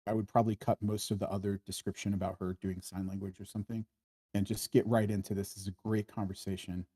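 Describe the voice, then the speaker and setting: A man's voice: on the low side, flat delivery, quick pace, warm tone, husky, dry recording. A man giving casual, concise feedback in a group discussion. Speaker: table read participant giving feedback. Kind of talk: casual critique.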